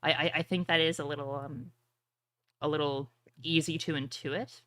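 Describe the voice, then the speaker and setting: A woman acting as game master, narrating and explaining a tabletop roleplaying game in a deliberate way. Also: low voice, fairly even intonation, a bit bright, no room sound.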